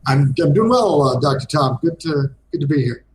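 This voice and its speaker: A man giving a casual interview as a game designer guest, speaking hesitantly with frequent pauses. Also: a little high, animated, a crowd in the background.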